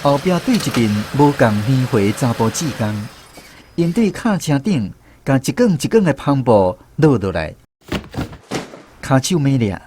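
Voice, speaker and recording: A man's voice: mid-range voice, sing-song, measured pace, background noise. A man with a Taiwanese accent playing a reflective troupe director who narrates his memories in a scripted radio drama. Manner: storytelling, reminiscent. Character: reflective male troupe director recalling touring days. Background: music.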